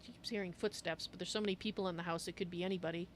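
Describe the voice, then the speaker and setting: A woman's voice: lowish voice, steady tone, racing, slight background noise. A woman playfully musing aloud as she narrates her video game roleplay in character.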